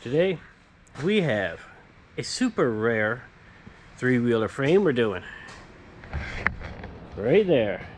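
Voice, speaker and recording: A man's voice: medium pitch, very animated, slow, pauses often. A man hosting a casual workshop vlog, explaining hands-on work step by step in plain words. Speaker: host, powder coating tradesman. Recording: noisy workshop background.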